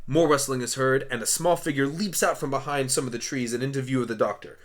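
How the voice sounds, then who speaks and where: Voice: mid-range voice, steady tone, fast talker. A narrator reading scripted stage directions for a hobby radio play.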